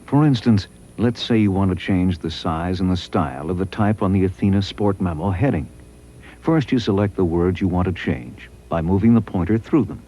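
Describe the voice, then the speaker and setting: A man reading scripted promotional training narration in a measured, instructional way. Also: low-pitched, dark tone, breathy edge, low-quality recording.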